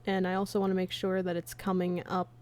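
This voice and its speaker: A woman's voice: on the low side, completely flat delivery, fast talker, a little mellow. A woman chatting casually on a livestream as its host and creator. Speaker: streamer, fan comic dub creator.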